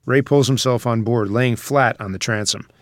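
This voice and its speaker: Male narrator, mid-pitched voice, scripted stage directions, dry close recording. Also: moderate pace, no echo.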